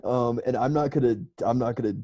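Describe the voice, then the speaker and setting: A man's voice: medium pitch, a little fast, crowd noise. A man co-hosting a casual comedy podcast, rambling along in sweary slang. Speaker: podcast host.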